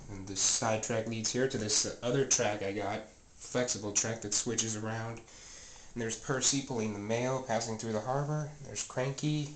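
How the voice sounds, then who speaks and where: A man's voice: on the low side, steady tone, normal speed. Guy with a stuffy scratchy voice rambling thru his train room, lots of uhs, faint clicky noise behind. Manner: rambling, many fillers and self-corrections. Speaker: toy train vlogger. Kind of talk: casual show-and-tell narration. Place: home train room, indoors. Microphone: handheld camera mic, filming close.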